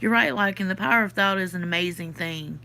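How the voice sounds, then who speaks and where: A woman's voice: fairly low pitch, fairly quick, vocal fry, bright, forceful tone. A woman from the Southern US with a Southern accent, stuffy from her sinuses, chatting casually on a livestream and answering comments from her chat. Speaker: podcast host reading live chat.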